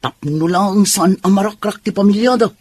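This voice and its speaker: A man playing a chatty, forthright, soft-hearted landlord and neighbour in a radio drama, with a husky voice. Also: high voice, moderate pace, no room sound. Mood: sympathetic, scheming.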